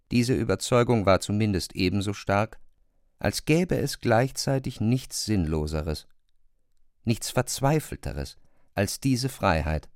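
Creaky-voiced male literary narrator. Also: on the low side, dry recording, clean studio sound. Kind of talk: literary audio drama narration.

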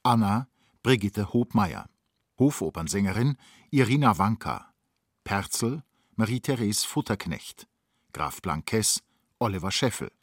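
A man with a low voice makes a formal radio announcement. He reads in a measured, scripted way, in a dry studio with no room sound. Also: expressive, dry recording. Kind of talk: scripted radio play credits.

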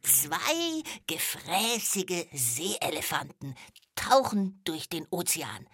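Eine Frau spielt für einen Kinderpodcast eine kichernde, aufgeregte Hyäne mit rauer Stimme, die stammelt und alberne Witze erzählt. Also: female voice, on the low side, big pitch swings, takes it slowly, a crowd in the background, full character voice. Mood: giggly, joking. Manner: stammering, cackling, exaggerated delivery. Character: giggly, excitable female hyena who tells corny jokes. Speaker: comic sidekick of a children's podcast. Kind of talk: children's podcast comedy.

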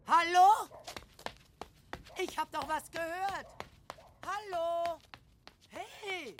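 A woman's voice: very high-pitched, drawn-out words, fairly bright tone, a little hiss or hum, full character voice. A woman playing an alarmed villager who calls out after an intruder in an audio drama. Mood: alarmed, searching. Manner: calling out.

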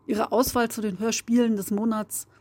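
Woman doing a prepared thanks message, mid pitch, husky, on the darker side. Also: flat delivery, moderate pace, a little mellow, no room sound. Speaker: radio drama editor giving a greeting.

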